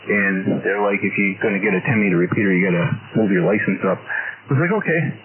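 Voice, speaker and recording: A man's voice: fairly low pitch, brisk, a crowd in the background. A man who operates amateur and CB radio, chatting casually and at rambling length over a radio transmission. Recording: narrow radio audio, heavy babble behind.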